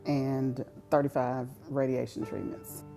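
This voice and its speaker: A woman's voice: extremely low pitch, flat delivery, measured pace, creaky, over music. A woman giving reflective personal testimony as a cancer survivor and advocate.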